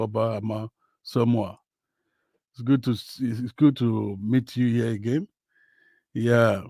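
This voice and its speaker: A Nigerian man whose first language is Yoruba, hosting a casual football livestream from home, talking slowly with many fillers. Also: mid-range voice, darkish tone, dry recording. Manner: slow, hesitant, many fillers. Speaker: livestream host. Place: home, in Western Australia.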